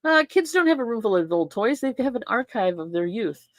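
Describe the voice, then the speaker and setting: A woman's voice: a little high, big pitch swings, fairly quick, no room sound. Playful older woman with a dry close sound. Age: 60s. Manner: repeats catchphrases, laughs often, playful banter. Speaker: co-host. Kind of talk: casual chat. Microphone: desk microphone.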